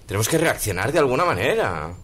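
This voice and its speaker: A man's voice: medium pitch, sing-song, quick pace, a bit bright, husky. A man, an activist guest on a radio talk discussion, speaking bluntly and heatedly.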